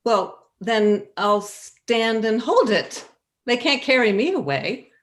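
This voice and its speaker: An unhurried, high and very expressive voice for a kindly old homeowner acted by a woman. She is cheerful and gently defiant, as if in a stage play read at home. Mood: cheerfully stubborn.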